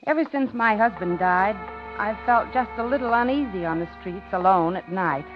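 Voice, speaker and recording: An American actress playing a polite, uneasy widowed office worker in a 1950s radio suspense drama, confiding and genteel. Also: female voice, medium pitch, normal speed, lightly acted. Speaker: radio drama lead and narrator. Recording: muffled 1950s radio recording, organ music.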